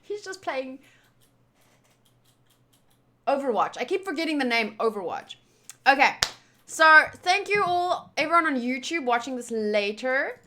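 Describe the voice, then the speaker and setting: A woman livestreamer chatting casually to her viewers in a playful, teasing and animated way. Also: high voice, measured pace, pauses often. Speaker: streamer hosting a game playthrough.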